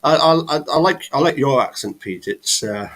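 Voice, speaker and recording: A man from England with a voice of ordinary male pitch, hosting a relaxed chat over a video call. He talks hesitantly, filling pauses and restarting his sentences. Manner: hesitant, many restarts and fillers. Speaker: host. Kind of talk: casual live chat.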